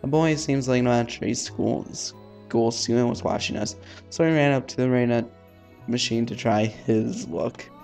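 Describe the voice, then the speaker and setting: A man's voice: medium pitch, normal speed, gravelly, darkish tone, soft background music. A man hosting a let's-play, reading a visual novel aloud with casual asides and the odd stumble over words.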